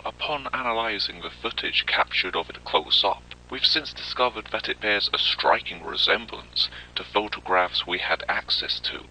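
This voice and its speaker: A grim wartime official, acted by a man with a very low, raspy voice. He delivers a formal, ominous briefing in an analog horror film, every phrase measured and hard-edged. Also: bright, forceful tone, heavily acted, low-quality recording. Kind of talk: scripted military briefing narration, analog horror.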